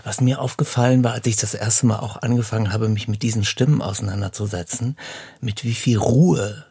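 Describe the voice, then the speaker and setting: A man hosting a relaxed, conversational interview, putting questions and remarks to his guest. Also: lowish voice, a little fast, husky, no echo. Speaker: interviewer, host.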